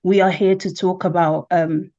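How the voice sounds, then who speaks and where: A woman's voice: lowish voice, fairly even intonation, normal speed, warm tone, dull audio. A woman hosting a casual interview, leading with questions and checking in with "right?" and "okay?". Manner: prompting, asks with "right?" and "okay?". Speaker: host.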